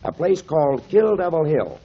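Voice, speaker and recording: Kindly, animated old-time radio storyteller. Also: male voice, fairly high pitch, moderate pace, a little hiss or hum. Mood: earnest storytelling. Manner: avuncular, explanatory, emphatic. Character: kindly grown man, storytelling mentor to children, pipe smoker. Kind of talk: 1930s radio acting. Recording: muffled 1930s radio recording.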